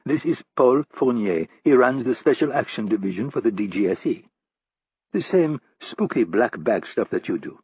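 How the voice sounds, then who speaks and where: A man's voice: medium pitch, expressive, normal speed, breathy edge, dry recording. Lefebvre, a man doing a poised, commanding woman. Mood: breezy. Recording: dull, lo-fi dry audiobook recording.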